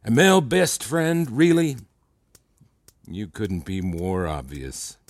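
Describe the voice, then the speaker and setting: An actor playing a controlling, self-assured therapist in a fiction podcast, with a patronizing, deliberate, needling manner. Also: male voice, lowish voice, huge pitch swings, full character voice. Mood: cold, controlling.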